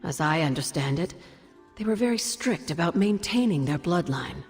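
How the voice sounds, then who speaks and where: An actress playing a wry older sorceress mentor in a game cutscene, measured and knowing, with fond teasing. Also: female voice, deep voice, lively intonation, long reverb tail, soft background music. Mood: solemn, knowing. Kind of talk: game cutscene dialogue, expository storytelling.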